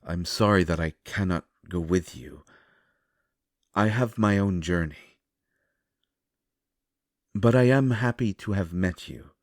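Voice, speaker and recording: A man playing a gentle, solemn otherworldly seer in an audio roleplay, speaking in measured, formal phrases with sorrowful reassurance. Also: low voice, no echo, clean studio sound. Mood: apologetic, soothing. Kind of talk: scripted audio roleplay, comforting.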